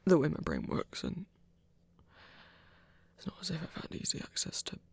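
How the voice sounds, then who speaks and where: A woman playing an awkward, self-conscious werewolf hunter in an ASMR romance, stammering in a husky, breathy voice. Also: low voice, huge pitch swings, moderate pace, heavily acted. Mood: flustered, touched. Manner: flustered, stammering, self-deprecating. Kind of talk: intimate ASMR romance roleplay.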